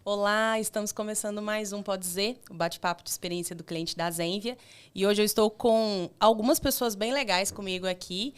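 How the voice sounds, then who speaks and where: A woman's voice: mid-range voice, fairly even intonation, a little fast, faint music behind. A woman hosting a podcast in an upbeat, playful way. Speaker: podcast host.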